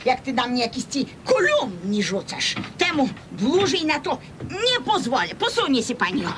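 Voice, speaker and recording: High, swooping, pushy schemer woman. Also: wildly swooping pitch, normal speed. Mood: indignant. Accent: eastern Polish, Podlasie regional. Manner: indignant, bossy, dramatic. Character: pushy, huffy scheming businesswoman. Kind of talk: radio comedy serial acting. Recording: rumbly, noisy radio recording.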